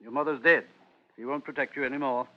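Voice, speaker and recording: On a dull old radio recording, a man plays an authoritarian British father. His voice is mid-pitched, formal and curt, heavy with disapproval of his son. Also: fairly even intonation, fast, lightly acted. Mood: exasperated. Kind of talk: vintage radio drama acting.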